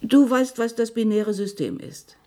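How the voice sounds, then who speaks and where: An actress playing an intelligent, self-possessed scientist, weary under pressure, in a radio drama. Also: female voice, on the high side, animated, measured pace, warm tone. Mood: probing.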